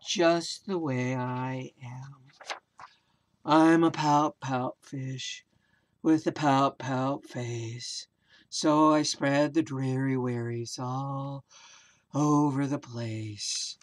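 A woman voicing a gloomy, sulking male fish in a storybook, with a slow, drawn-out, mopey delivery. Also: a bass voice, fairly even intonation, pitched below the performer's own voice, exaggerated voice. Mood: glum, resigned.

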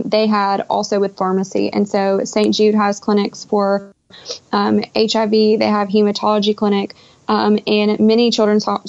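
A woman's voice: medium pitch, a little mellow, muffled recording. A young woman answering interview questions conversationally as a podcast guest, over an internet call. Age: young adult.

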